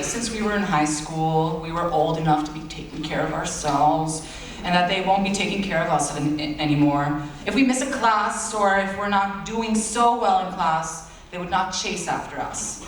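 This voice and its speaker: A man's voice: a little high, slight background noise. Teen boy's speech, echoing hall from afar. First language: Bulgarian. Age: late teens. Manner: earnest, self-deprecating, with playful asides. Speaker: valedictorian. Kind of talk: graduation speech. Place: large school auditorium. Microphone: far-off audience camera. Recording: echoey hall recorded from far off.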